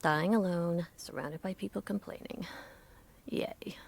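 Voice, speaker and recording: A woman's voice: fairly low pitch, steady tone, a little slow, creaky, noisy background. A woman playing a doctor's skittish, sardonic helper in an audio drama, plain-spoken and sarcastic. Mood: sarcastic dread. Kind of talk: naturalistic audio drama acting.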